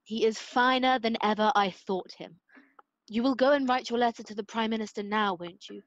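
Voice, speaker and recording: An actress playing a principled, devoted English wife, speaking earnestly and formally in a radio play. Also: female voice, fairly high pitch, moderate pace, no room sound, highs cut off, natural voice. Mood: admiring, firm.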